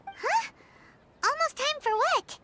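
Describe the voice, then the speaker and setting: A woman voicing a tiny, childlike fairy companion in a video game, squeaky and emphatic, excitable and warmhearted. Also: takes it slowly, background noise, full character voice. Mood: surprised. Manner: refers to self in third person, emphatic.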